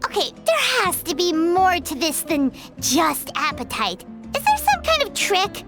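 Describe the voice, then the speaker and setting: A tiny floating game companion with an extremely high, squeaky voice. The delivery is bubbly, childlike and swoops around expressively, over loud background music. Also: female voice, a little slow, heavily acted. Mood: curious. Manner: chirpy, expressive, refers to self by name. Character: tiny childlike floating companion, bubbly, food-loving, third-person talker. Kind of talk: English video game voice acting.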